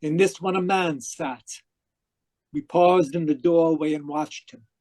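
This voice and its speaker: A man acting a tormented dead man who narrates his afterlife in old-time radio mystery style, halting and pleading. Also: a little high, steady tone, darkish tone, no room sound. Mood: haunted, weary. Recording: live video call performance.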